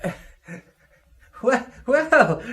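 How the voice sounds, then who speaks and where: An actor playing an effusive, nervously jovial gentleman who stammers and repeats phrases in a radio drama. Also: sits high, big pitch swings, drawn-out words, full character voice. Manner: stammering, repetitive, gushing.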